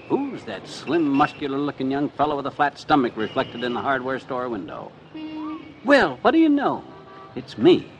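A man's voice: on the high side, pushed and bright, heavily acted. A man acting a boastful, gullible husband with a folksy, exclamatory American delivery in a 1950s radio comedy. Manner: folksy, self-satisfied, exclamatory. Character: boastful, gullible middle-aged husband, proud of new figure. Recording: noisy old radio recording.

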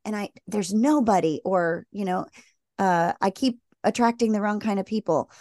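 A woman co-hosting a faith podcast at an ordinary middle pitch. Her chatty talk comes in quick bursts as she acts out quoted people, and her voice sounds close and dry. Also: a little mellow, dry recording. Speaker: podcast co-host. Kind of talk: casual faith podcast conversation.

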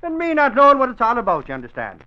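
An actor playing an excitable, self-important Irish reporter in a 1940s radio drama, blustering in folksy, ungrammatical speech. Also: male voice, extremely high pitch, racing, full character voice. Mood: blustering, aggrieved. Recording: dull old radio broadcast recording.

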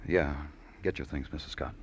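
An actor playing a world-weary, poetic police detective in an old-time radio drama, terse and measured. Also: male voice, very deep voice, heavy background noise. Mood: terse, somber. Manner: terse, hard-boiled, measured. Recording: muffled vintage radio recording with music.

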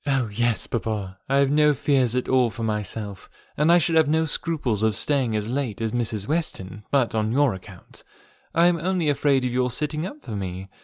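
Casting: male narrator as dutiful young daughter in a period novel, tactful, affectionate, lively intonation, dry close sound. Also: mid-range voice, normal speed, dry recording, close to an everyday voice. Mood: reassuring. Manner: reassuring, tactful. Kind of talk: audiobook character voice from a classic novel.

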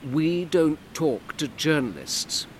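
A man voicing a brisk, suspicious woman civil servant who answers curtly and defensively. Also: fairly high pitch, big pitch swings, a little hiss or hum, voice pushed up. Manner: curt, defensive, clipped.

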